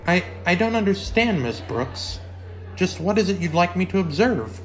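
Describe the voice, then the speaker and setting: A very lively, swinging voice for a mild male biology teacher, played by a man. He is polite, earnest and sweetly oblivious, in the manner of a classic radio comedy. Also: high-pitched, normal speed, soft background music. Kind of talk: old-time radio comedy acting.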